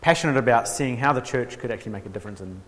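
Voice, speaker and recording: A man gives a relaxed workshop talk at a middle pitch. He pauses often with ums and restarts, and adds a dry, self-mocking humour. His voice carries a long hall reverb. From New Zealand. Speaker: workshop speaker on theology. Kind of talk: informal lecture.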